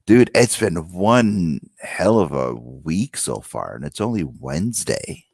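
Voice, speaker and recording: A man co-hosts a casual talk show in a lowish, slightly hoarse voice. His pitch swings widely as he tells stories and quotes people in animated banter. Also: slow pace, dry recording, clean studio sound. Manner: animated storyteller, quotes himself and others.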